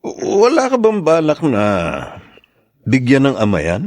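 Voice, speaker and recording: A caring older brother with a fairly high, animated voice that rises and falls a lot. The sound is dry and close. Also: male voice, a little slow, dry recording. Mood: kindly. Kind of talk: radio drama acting.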